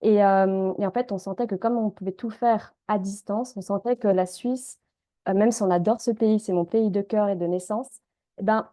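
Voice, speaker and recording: Woman in her thirties, soft and mellow, calm healing-session guide, hesitant pauses. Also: medium pitch. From Switzerland. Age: 30s. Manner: gentle, calm, with frequent hesitations. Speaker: energy healer and mindset coach. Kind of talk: live group healing session and guided prayer.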